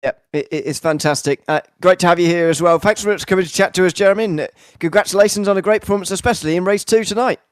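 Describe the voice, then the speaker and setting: Chatty sim racing commentator, higher voice. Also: male voice, very fast, hardly any pauses, clear, resonant tone, no room sound, studio quality. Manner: rambling, filler-heavy, enthusiastic wrap-up. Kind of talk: broadcast commentary and driver interview.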